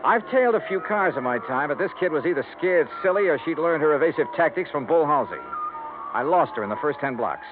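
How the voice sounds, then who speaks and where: An actor playing a wry, hard-boiled insurance investigator who narrates dryly and sardonically in 1950s radio noir style. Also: male voice, fairly high pitch, animated, a little fast, natural voice. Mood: wry, brooding. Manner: dry, sardonic, clipped. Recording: old radio broadcast, tinny, music behind.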